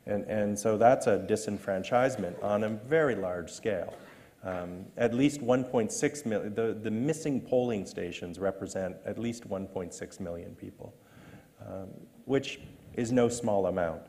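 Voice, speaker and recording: A man from Canada speaking as a panelist, measured and fond of rhetorical asides. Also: on the low side, mellow. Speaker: panelist on elections. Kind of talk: panel discussion. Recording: long reverb of a hall.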